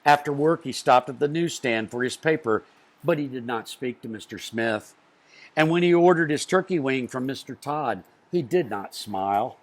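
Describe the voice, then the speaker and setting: A man reads a children's picture book aloud. His mid-range voice moves through wide rises and falls, and he phrases each beat deliberately. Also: mid-range voice, expressive. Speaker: children's storyteller.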